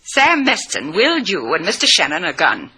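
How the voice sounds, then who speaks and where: A woman's voice: lowish voice, huge pitch swings, moderate pace, sharp tone, husky, full character voice. A woman playing a sweet-talking, ruthless tourist murderess in an old radio drama, with a genteel, coy and menacing manner. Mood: coolly menacing. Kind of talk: 1940s-50s radio drama acting.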